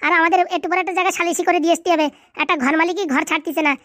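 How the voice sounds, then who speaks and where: A performer doing a cheeky cartoon boy, very high pitch, fast bantering talk, Bengali accent, dry close sound. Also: fairly even intonation, bright, forceful tone, no echo. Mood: brash, excited. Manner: brash, colloquial, bantering. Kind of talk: Bengali comedy cartoon dialogue.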